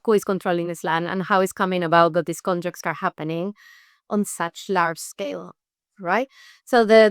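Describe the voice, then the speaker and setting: A woman from Peru, an NGO research head, explaining things in an interview with measured, rhetorical questions. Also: lowish voice, clean tone, no room sound, clean studio sound.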